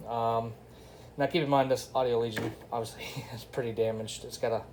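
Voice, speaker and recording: A man's voice: medium pitch, steady tone, a little fast, very noisy. A man giving a casual, unscripted product review, talking informally to his viewers. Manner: informal, addresses viewers as y'all and guys.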